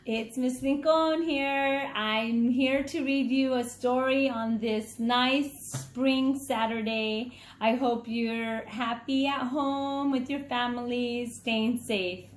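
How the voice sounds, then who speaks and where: A woman reading a children's story aloud as a teacher, dramatic and deliberate for young listeners. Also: on the high side, steady tone, a little hiss or hum. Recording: echoey, roomy sound.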